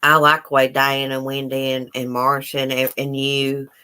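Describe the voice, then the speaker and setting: A plainspoken woman with a Southern country accent and a notably low voice. She talks bluntly in casual chat and does not hold back on swearing. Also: monotone, normal speed, bright, forceful tone, clean tone. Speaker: host of the show.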